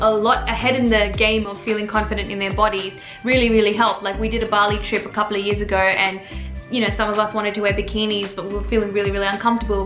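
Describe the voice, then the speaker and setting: A young woman in her twenties hosting a casual Q&A chat, talking rapidly and switching between Tamil and English. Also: mid-range voice. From Australia. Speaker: channel host. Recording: roomy echo with background music.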